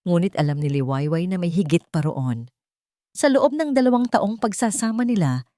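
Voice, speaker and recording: A woman's voice: fairly low pitch, dark tone, no room sound, studio quality. A woman with a Filipino accent reading a scripted dramatic story as narrator.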